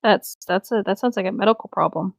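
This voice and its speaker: A woman's voice: mid-range voice, fast talker, creaky, no room sound, studio quality. A woman co-hosting a casual read-aloud, trading comments between lines of the story. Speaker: co-host reading fan fiction aloud.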